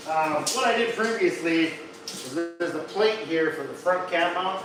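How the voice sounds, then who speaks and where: A man's voice: fairly high pitch, measured pace, low-quality recording. A man in his thirties from Minnesota with a Minnesotan accent, chatting casually on a live stream while working in his home garage, rambling and self-deprecating, talking into a phone. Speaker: livestreaming truck builder. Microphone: phone held at arm's length, later set down away.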